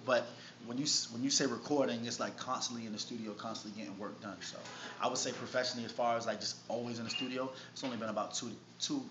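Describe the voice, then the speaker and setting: New york rapper dude chatting in an interview, muffled sound with clear background noise. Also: male voice, medium pitch. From Harlem, New York City. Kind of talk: casual interview. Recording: noisy, muffled, echoey room recording.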